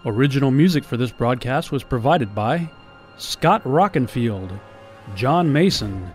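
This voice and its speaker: A man announces credits from a script in a mid-pitched voice. He speaks slowly with lively intonation over faint music.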